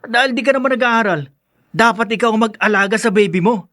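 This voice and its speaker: An actor playing an earnest young brother in a Filipino audio drama, serious and reproachful. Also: male voice, sits high, fast talker, fairly bright tone, no room sound, studio quality. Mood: stern scolding. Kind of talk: Filipino podcast radio drama acting.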